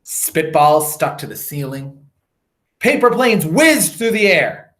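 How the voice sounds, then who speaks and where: A man reading a storybook aloud for children, with theatrical emphasis and drawn-out words. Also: fairly high pitch, big pitch swings, fairly bright tone.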